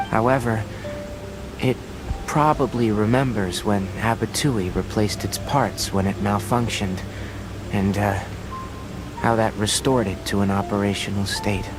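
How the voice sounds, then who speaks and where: An actor playing a calm, earnest young researcher in a video game, explaining things in a measured, considerate way. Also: male voice, fairly low pitch, lightly acted, poor audio.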